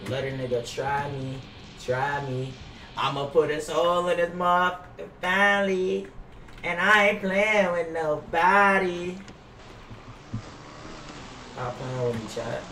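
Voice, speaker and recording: A man's voice: on the high side, fairly even intonation, takes it slowly, pauses often, pushed and bright, recorded from a distance. A young American man streaming a game, chatting casually in brash slang with heavy swearing. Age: young adult. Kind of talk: casual gaming livestream chat with role-play banter. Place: indoor room at streaming setup. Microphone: gaming headset. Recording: roomy, off-mic, faint noise.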